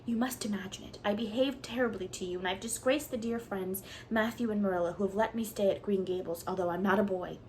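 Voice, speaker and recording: A woman's voice: mid-range voice, expressive, brisk, a little hiss or hum, natural voice, low-quality recording. Woman voicing a dramatic, pleading orphan girl. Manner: breathless, pleading, theatrical.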